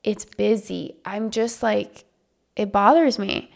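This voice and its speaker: Chatty woman with a creaky voice. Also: mid-range voice, slow. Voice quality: congested, slightly nasal. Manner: chatty, self-deprecating, laughs at herself. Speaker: podcast co-host. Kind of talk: casual chat. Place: home podcast setup.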